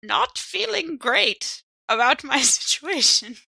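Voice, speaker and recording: Bright, creaky-voiced woman playing a tabletop game. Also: high voice, sing-song, slow pace, no room sound, poor audio. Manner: informal, thinking aloud. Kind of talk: casual game-table chat.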